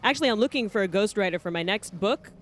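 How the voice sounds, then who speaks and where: A woman's voice: mid-range voice, lively intonation, quick pace, slight background noise, natural voice. A woman playing a fearless, pompous, self-promoting archaeologist with a theatrical manner in a fantasy roleplay. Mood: breezy. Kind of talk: tabletop roleplay audio drama.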